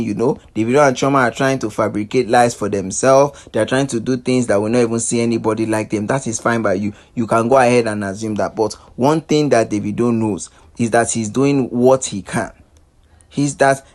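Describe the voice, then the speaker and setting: Emphatic man delivering celebrity gossip commentary. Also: mid-range voice. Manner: emphatic, repetitive, rhetorical questions. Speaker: host of a celebrity gossip channel.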